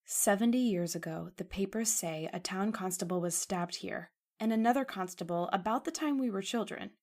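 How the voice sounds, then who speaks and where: A woman's voice: mid-range voice, moderate pace, darkish tone, lightly acted. A woman playing an anxious, devoted young woman in an old-time radio horror drama, earnest and melodramatic. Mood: troubled, insistent.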